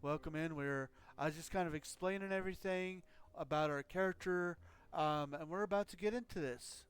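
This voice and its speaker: Man, game streamer, slightly high voice, smooth tone, scratchy throat, dry close mic, rambling fillers. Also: normal speed. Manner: rambling, loose explanations with frequent fillers. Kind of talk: casual live-stream chat.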